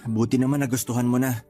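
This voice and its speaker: A man's voice: mid-range voice, little pitch movement, a little fast, darkish tone, close to an everyday voice. A man plays a lovestruck young Filipino suitor in a radio drama. He has a mid-range voice and sounds earnest and defensive, with faint music behind him. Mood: sullen. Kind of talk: radio drama acting in casual dialogue.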